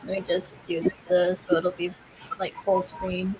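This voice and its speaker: A woman's voice: lowish voice, completely flat delivery, a little slow, background noise. A woman streamer chatting casually with friends over a game, hesitant and leaning on the word "like". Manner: hesitant, filler-heavy talk with frequent "like". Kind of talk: casual chat while playing and watching. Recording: background music behind the voice.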